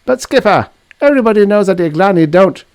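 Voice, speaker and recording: A big, battle-weary military engineer played by a male narrator in a science fiction story. He speaks well up in pitch, urgent and protesting, with a dry, close sound. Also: expressive, quick pace, a little mellow, smooth, dry recording. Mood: disbelieving.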